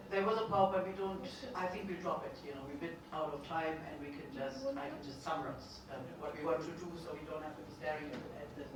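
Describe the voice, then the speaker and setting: A middle-aged woman speaking loudly at a policy conference, pausing often with fillers and restarts. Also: fairly low pitch. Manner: hesitant, many fillers and restarts; loud projecting voice. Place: large conference hall. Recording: echoey hall from far off, noisy.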